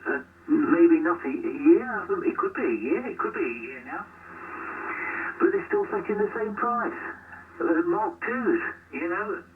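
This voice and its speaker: A man's voice: high voice, expressive, a little slow. Tinny, noisy shortwave voice of man. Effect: shortwave radio transmission. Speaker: amateur radio operator. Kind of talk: casual chat over ham radio. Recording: tinny, distant, noisy shortwave radio reception.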